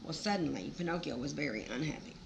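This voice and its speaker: A woman storyteller with a low, creaky voice reads to children. Her delivery is very animated, with folksy exclamations and chatty asides. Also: fast, noisy background.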